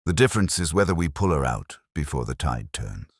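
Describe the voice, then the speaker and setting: A man voicing a shrewd, commanding Victorian detective in an audiobook, measured and authoritative. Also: rumbling low, sing-song, slightly rough, no room sound, studio quality, exaggerated voice. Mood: grave.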